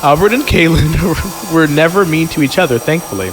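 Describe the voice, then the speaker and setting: A man's voice: on the high side, moderate pace, noisy background. A man reading a story aloud on a podcast in an informal, amused way, breaking off for chatty asides.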